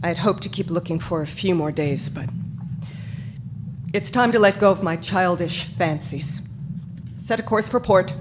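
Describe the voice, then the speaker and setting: A woman's voice: on the low side, a little slow, long pauses, close to an everyday voice. A woman playing a gracious, wistful submarine captain in a stage radio drama, composed and formal. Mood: resigned, wistful. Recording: live stage with background noise.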